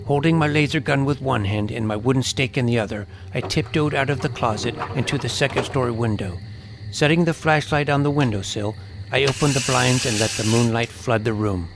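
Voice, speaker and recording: A man's voice: medium pitch, fairly quick, gravelly, noisy background. A man giving a dramatic, scripted first-person narration of a suspense adventure story.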